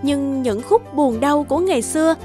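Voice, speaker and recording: A woman's voice: high-pitched, lively intonation, normal speed, mellow, soft background music. A woman narrating a scripted children's fairy tale as a storyteller.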